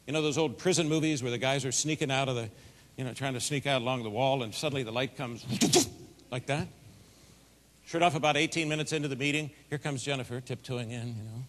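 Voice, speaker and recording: A middle-aged man giving a keynote speech, telling a folksy, animated story in a big voice. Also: mid-range voice, fast. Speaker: keynote speaker. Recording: long hall reverb.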